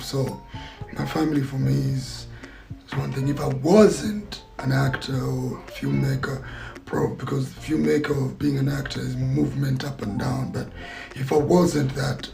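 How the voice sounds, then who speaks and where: Gravelly man, reflective. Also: medium pitch, fairly even intonation, moderate pace, warm tone, soft background music. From Zambia. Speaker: actor and filmmaker guest. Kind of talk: interview answers.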